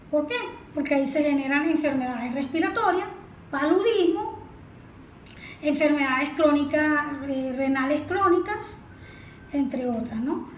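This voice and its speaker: A woman explaining as an expert in an interview, didactic, asking questions and answering them herself. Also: sits high. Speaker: environmental expert being interviewed. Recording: echoey room with faint background noise.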